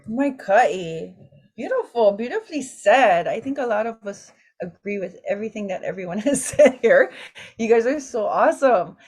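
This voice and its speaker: A woman's voice: a little high, sing-song, normal speed, slightly rough. A woman hosting a casual roundtable, telling stories emotionally and mixing in Hawaiian words. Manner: warm, emotional storytelling with Hawaiian words mixed in. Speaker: roundtable host and mother. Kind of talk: casual talk story.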